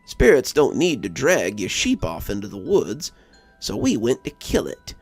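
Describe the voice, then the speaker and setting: Man acting a gravelly wounded young backwoods survivor. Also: medium pitch, huge pitch swings, measured pace, no echo, faint music behind, heavily acted. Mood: grim, haunted. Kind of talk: first-person storytelling monologue.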